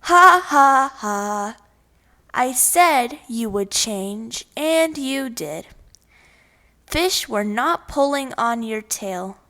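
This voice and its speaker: A woman plays a crafty storybook fox who teases and scolds. The voice is high and slow, with emphatic delivery. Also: lively intonation, sharp tone, exaggerated voice. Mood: gloating, scolding. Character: sly, scolding male fox in a children's fable.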